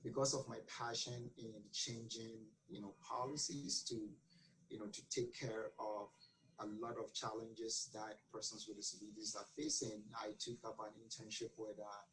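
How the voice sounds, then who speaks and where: A man's voice: on the low side, little pitch movement, normal speed, fairly bright tone, a little hiss or hum, low-quality recording. A man from Ghana, answering interview questions earnestly with many fillers and restarts. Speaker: guest.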